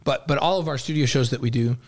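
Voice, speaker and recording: A middle-aged man hosting a sports talk show, chatty and quick with asides. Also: medium pitch. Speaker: sports radio host.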